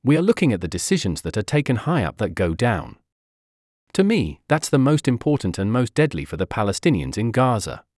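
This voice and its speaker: Guy narrating a news piece, pitch moves around a lot, dry close sound. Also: sing-song, fairly quick, a little mellow, no echo. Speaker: article narrator. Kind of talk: scripted news article read aloud.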